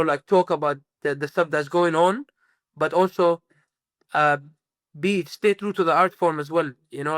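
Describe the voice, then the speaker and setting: A man's voice: fairly high pitch, a bit bright, no echo. A man from Sudan, a musician guest in a casual interview, rambling with many fillers and restarts.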